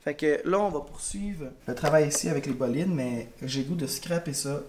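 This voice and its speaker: A man with a Quebec French accent talks to his vlog camera from a classroom, his voice a little high for a man and congested. He rambles in a tired, frank, chatty way, with a faint hiss behind him. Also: moderate pace, darkish tone. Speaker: elementary school teacher. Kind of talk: casual vlog talk to camera. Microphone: camera mic.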